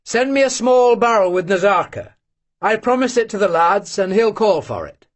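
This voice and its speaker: A man voicing a brisk, curt young male Cossack. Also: high-pitched, expressive, pitched above the performer's own voice.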